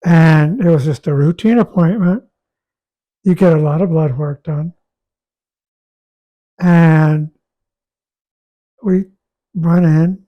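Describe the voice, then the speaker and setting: A man with a raspy, hoarse voice talking casually about his health, halting and repeating words as he goes. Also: on the high side, dull audio. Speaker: guest sharing a health update. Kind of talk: casual conversation.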